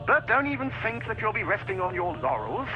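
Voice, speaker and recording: An actor playing a haughty, sardonic officer in a dark sci-fi video game, with a pompous drawl. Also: male voice, high voice, sing-song, fairly quick, muffled recording. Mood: sardonic disdain.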